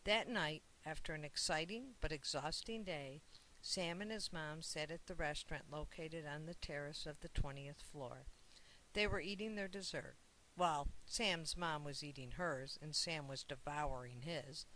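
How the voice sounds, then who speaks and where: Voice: medium pitch, measured pace, sharp tone, slight background noise, rough recording. An author reading a children's book aloud as scripted storybook narration.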